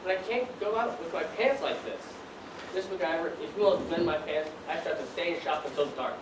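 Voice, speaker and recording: A woman acting in an amateur stage play as an earnest, stubborn young gentleman, polite and pleading. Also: on the low side, fairly quick, close to an everyday voice. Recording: roomy stage recording, distant, noisy.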